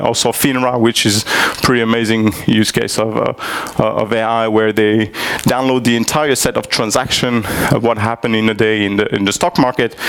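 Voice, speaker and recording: Gravelly man giving a tech talk. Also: medium pitch. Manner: hesitant, many fillers and restarts. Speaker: tech conference speaker. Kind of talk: live technical presentation. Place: conference hall.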